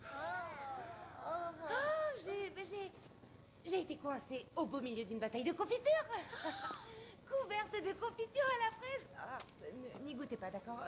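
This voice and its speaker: A high female voice with lively swoops, playing a young schoolteacher in a film. She is playful and reassuring, as if talking to small children. Loud noise surrounds the voice. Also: measured pace, pauses often, full character voice.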